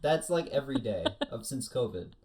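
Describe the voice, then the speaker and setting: Man, medium pitch, casual podcast co-host, teasing banter. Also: lively intonation, a little fast, crowd noise.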